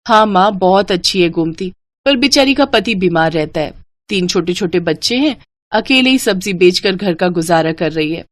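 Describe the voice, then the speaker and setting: A woman's voice: lowish voice, lively intonation, normal speed, no echo, natural voice. A woman voicing a friendly, appreciative young married woman in a moral story, speaking with easy familiarity. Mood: sympathetic. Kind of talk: Hindi moral story character dialogue.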